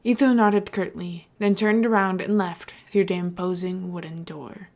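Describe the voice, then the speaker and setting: A woman's voice: lowish voice, fairly even intonation, moderate pace, darkish tone. A woman narrating a story as a podfic reader, in a scripted reading style.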